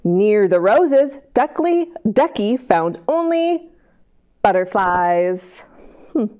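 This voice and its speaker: A middle-aged American woman hosting a library storytime, reading aloud to young children in an expressive, sing-song way and drawing them in with questions. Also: mid-range voice, slow, highs cut off. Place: indoor library children's area. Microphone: lapel mic.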